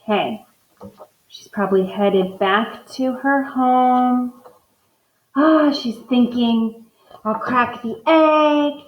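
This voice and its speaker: Teacher thinking aloud over a picture book. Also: female voice, on the high side, drawn-out words, long pauses, reverberant, muffled recording, slight background noise. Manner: thinks aloud, modeling inferences for students.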